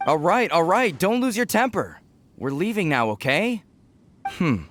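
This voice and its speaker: A man's voice: sits high, sing-song, measured pace, fairly bright tone, a little hiss or hum, exaggerated voice. An actor playing an exasperated, protective, skeptical young man in a video game, placating yet brusque.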